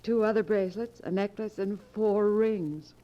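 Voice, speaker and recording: An actress playing a gold-digging, scheming wife in an old radio drama, with a theatrical manner. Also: female voice, mid-range voice, normal speed, lo-fi sound, natural voice. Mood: smug. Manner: theatrical, cooing endearments. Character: gold-digging scheming wife, sultry, greedy femme fatale. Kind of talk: golden age radio drama acting.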